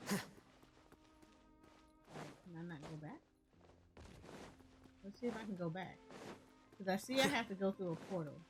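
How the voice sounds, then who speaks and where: A woman's voice: mid-range voice, expressive, unhurried, long pauses, poor audio. A woman streamer giving casual play-by-play commentary, half talking to herself as she plays a video game. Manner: talks to herself, muttering reactions while playing. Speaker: gaming streamer. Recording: game music behind the voice.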